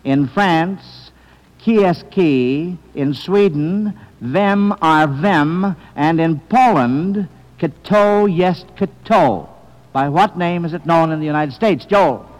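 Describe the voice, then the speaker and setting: High-pitched man hosting an old radio quiz. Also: slow pace. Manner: folksy, addresses children paternally. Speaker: quiz show host. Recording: reverberant hall, vintage radio broadcast.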